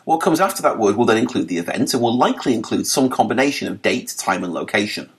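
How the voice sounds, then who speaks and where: A man's voice: fairly low pitch, fast talker. Guy reading out a tech essay for a podcast, voice bounces up and down a lot, dry little jokes. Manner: lively, articulate reading with dry wit. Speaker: podcast narrator. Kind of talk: scripted narration of an article.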